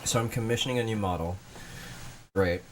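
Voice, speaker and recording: A man's voice: fairly low pitch, fairly quick, background noise. A man streaming, chatting casually with friends on a voice call, laughing often and protesting when teased.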